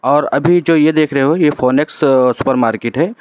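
A man's voice: on the high side, normal speed, a little mellow, dry recording. A man from narrates a casual road-trip vlog from a moving vehicle. He talks in a chatty way and keeps adding "theek hai" as a check-in tag. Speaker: travel vlogger.

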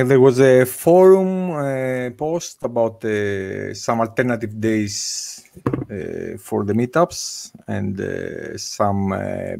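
A man in his thirties talks slowly and haltingly on a video call, filling gaps with uhs. His voice is gravelly and a little low, picked up by a desk mic in a room at home. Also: darkish tone. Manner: hesitant, many filler uhs. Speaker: meetup organizer. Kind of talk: casual video call discussion. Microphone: desk microphone on video call.

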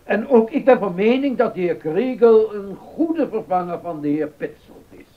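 A high-pitched, grave councillor in a period docudrama, speaking formally. The recording sounds dull and lo-fi. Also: male voice, very animated, moderate pace. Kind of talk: Dutch docudrama acting.

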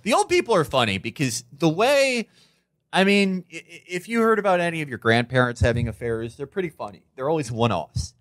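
A man's voice: on the high side, sing-song, a little fast, a bit bright, dry recording. A man co-hosting a podcast, riffing casually in a sardonic, rambling, profane way. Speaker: podcast co-host.

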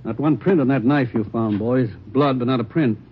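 An actor playing a laconic, matter-of-fact American police lab technician in an old radio drama, on a muffled recording. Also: male voice, medium pitch, normal speed, a little hiss or hum, close to an everyday voice. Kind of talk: 1950s radio drama acting.

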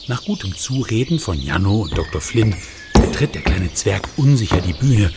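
A man with a low voice narrates a children's story with lots of melodic swing, building suspense. His storytelling is animated. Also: normal speed, heavy background noise.

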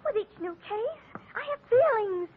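Very high girlish American secretary, animated swoops, earnest, sentimental, naive, flustered 1940s radio comedy-drama, tinny sound. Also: a little slow. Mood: indignant, flustered. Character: fluttery, sentimental, earnest young female secretary. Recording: tinny noisy old radio recording.

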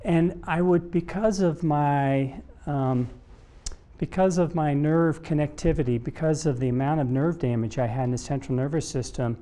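A middle-aged man delivers a motivational talk on stage into a lapel mic. His voice is fairly high for a man and soft-edged, with a relaxed tone. He phrases his points deliberately and emphatically, pausing between them. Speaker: motivational keynote speaker and coach. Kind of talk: motivational public talk, storytelling. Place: stage in a conference room before a seated audience.

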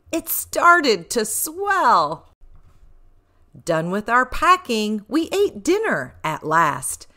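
A woman reading a rhyming children's picture book aloud with expressive, rhythmic delivery. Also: a little high.

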